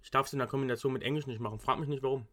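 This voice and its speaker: A man's voice: mid-range voice, steady tone, rapid-fire, sharp tone. Young male student, loose rambling talker, everyday conversational register. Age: young adult. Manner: rambling, frequent restarts and English slang. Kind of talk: casual chat.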